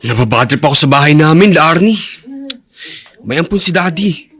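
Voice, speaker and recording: A surly, higher-voiced young son. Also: male voice, lively intonation, moderate pace, a bit bright, husky. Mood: sullen, jealous. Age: young adult. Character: resentful, jealous young son, surly and easily irritated. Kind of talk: radio drama acting.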